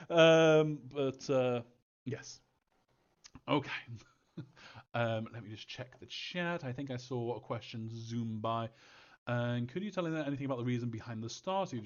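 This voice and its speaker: Hesitant man with dull lo-fi sound. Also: mid-range voice. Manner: hesitant, rambling, thinking aloud. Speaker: game creator answering fan questions. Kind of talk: casual live Q&A talk.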